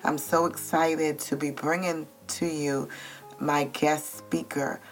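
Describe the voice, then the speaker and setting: A woman from Trinidad and Tobago hosting a podcast, affirming and warm in how she responds. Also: sits low, flat delivery, takes it slowly, faint music behind, low-quality recording. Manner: warm and affirming, with laughter and amens. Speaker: podcast host. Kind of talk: podcast interview.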